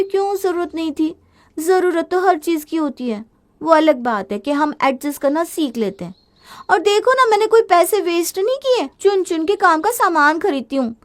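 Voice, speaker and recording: A woman's voice: extremely high pitch, voice pushed up. A woman voicing a headstrong young Indian housewife in a family story, exclamatory, complaining and defensive. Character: headstrong gadget-obsessed young Indian housewife, easily fed up. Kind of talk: dramatized Hindi family story.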